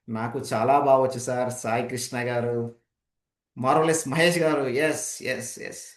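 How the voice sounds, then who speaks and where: A man's voice: on the high side, fairly bright tone. A man leads an interactive training session as a speaking coach, explaining emphatically and putting rhetorical questions to participants. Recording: echoey, roomy sound.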